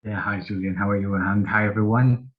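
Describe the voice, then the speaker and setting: A somewhat low-voiced man from Syria joins a panel over a video call. He is friendly and restarts his phrases often. The sound is dull and lo-fi. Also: steady tone, a little fast. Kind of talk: online panel introduction.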